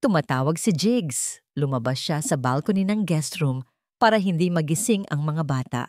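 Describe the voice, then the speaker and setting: Female narrator for romantic audio fiction, lowish register, very animated delivery. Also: normal speed, no room sound, clean studio sound. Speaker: story narrator. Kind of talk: dramatic scripted narration of a romance story.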